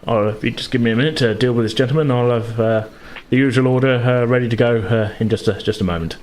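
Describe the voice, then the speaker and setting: A man acts out a flustered, obliging shopkeeper in a tabletop game. He talks quickly and apologetically, stumbling over words. The recording has clear background noise. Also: medium pitch, darkish tone, natural voice, poor audio. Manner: hurried, apologetic, stammering.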